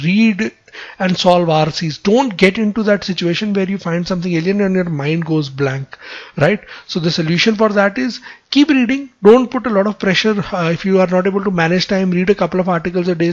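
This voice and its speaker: Indian male mentor, high voice, emphatic conversational teaching with frequent tag questions, slightly muffled lo-fi recording. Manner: emphatic, repetitive phrasing with frequent "right?" tags. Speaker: exam prep mentor. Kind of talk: conversational instructional advice.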